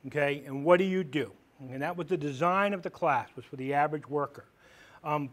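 A middle-aged man from Maine with a big voice, a police lieutenant giving a live classroom lecture in a conversational, plain-spoken way with rhetorical questions. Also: a little high. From Maine, United States. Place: training room with a seated audience. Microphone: far camera at the back of the room.

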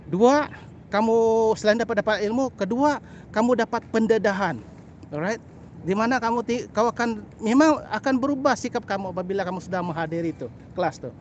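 Middle-aged male vlogger, Sabahan Malay accent, high-pitched voice, halting casual delivery, faint outdoor noise behind. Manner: halting, repeats phrases, frequent "ah" and "lah" tags. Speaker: birding vlogger. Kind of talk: casual vlog chat to camera. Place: outdoors on a grassy path by a canal.

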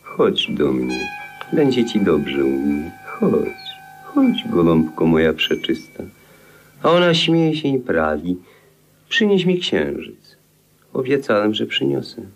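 A man's voice: fairly low pitch, very animated, faint music behind, heavily acted. An actor playing a lovelorn, melancholy drunken murderer in a 1950s radio play, telling his tale in a theatrical, lyrical manner. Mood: wistful, then dramatic storytelling. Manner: theatrical, lyrical storytelling with drawn-out phrases. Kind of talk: 1950s radio play acting, poetic monologue.

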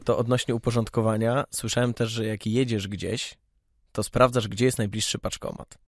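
A man's voice: on the low side, little pitch movement, normal speed, no room sound. Man interviewing casually, dry studio sound. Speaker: podcast host.

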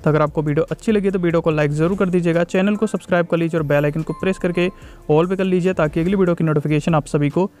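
Guy doing a casual phone review voiceover, talks really fast, light music behind him. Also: a little high, fairly even intonation, a little mellow. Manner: repetitive, running commentary with English tech terms mixed in. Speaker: tech reviewer.

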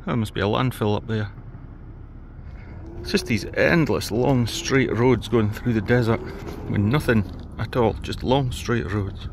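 Man chatting over loud road noise. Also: lowish voice, measured pace, creaky. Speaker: travel vlogger. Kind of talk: casual chat on a road trip. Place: in or beside a car on a desert road.

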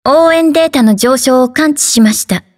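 Game role: female android, formal and methodical, with a high, polite voice. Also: a little mellow. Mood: polite, composed. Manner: formal, measured, procedural. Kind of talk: game character voice lines.

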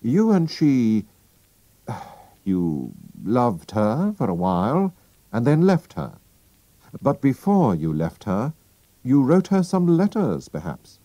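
A man reading a storybook aloud and voicing a sharp, calm male detective who talks in a brisk, sure-of-himself way. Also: medium pitch, no echo, voice pushed up, exaggerated voice. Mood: assured.

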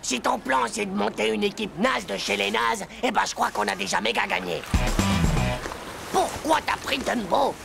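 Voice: mid-range voice, moderate pace, gravelly, over loud music. An actor voicing a whiny, hot-tempered, scheming young schoolboy in a French cartoon. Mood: indignant. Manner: whiny, indignant, slangy. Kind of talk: French animated series dubbing.

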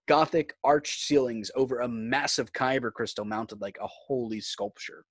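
Male narrator, medium pitch, close dry sound, faint music behind, presenting a script read. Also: normal speed, dry recording. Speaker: narrator and host. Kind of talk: reading screenplay directions, host outro.

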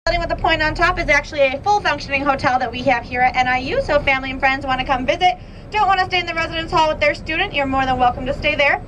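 A woman's voice: sits high, very fast, a bit bright. A young woman guiding a campus bus tour, upbeat and informative, with frequent "um" fillers. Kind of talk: guided tour commentary. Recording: noisy bus interior. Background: bus engine noise.

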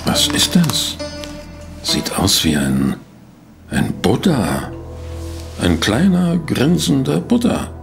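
An actor playing a polite, pensive private detective who narrates and investigates in a German audio drama. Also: male voice, medium pitch, sing-song, slow pace, gravelly, long pauses, music in the background, heavily acted. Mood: intrigued. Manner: courteous, pensive, hesitant. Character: polite, inquisitive male private detective, narrating his case.

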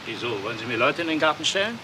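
An actor playing a wealthy house owner under threat, wry and uneasy, in a German crime radio play. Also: male voice, a little high, sing-song, very fast, far from the mic, noisy background.

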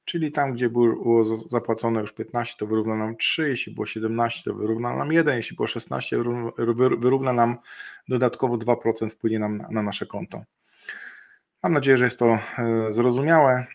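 A middle-aged man talking through slides on a video call, in a voice of ordinary middle pitch. He speaks in halting stretches, filling pauses with hesitant sounds and restarting phrases as he explains the figures. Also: steady tone, vocal fry. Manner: halting, many fillers and restarts, slow deliberate pace in places. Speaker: webinar presenter. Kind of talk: explaining a partner bonus program over slides. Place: indoor room, video call.

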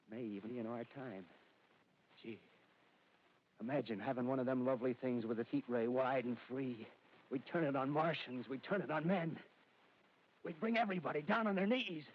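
A man plays a half-mad artilleryman who has survived the invasion and dreams of power, his talk rushed and rambling. He keeps pressing his listener with "see?" in 1930s radio-drama style. The sound is the dull, muffled tone of an old radio broadcast. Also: medium pitch, slight background noise, lightly acted. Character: wild-eyed male artilleryman survivor, scheming, power-hungry.

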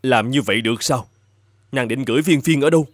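A man voicing an infatuated middle-aged emperor in an animated fairy tale, imperious and formal. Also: medium pitch, fairly quick, no room sound, clean studio sound, lightly acted. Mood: persuaded. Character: infatuated middle-aged emperor, indignant yet easily persuaded. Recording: music playing behind the voice.

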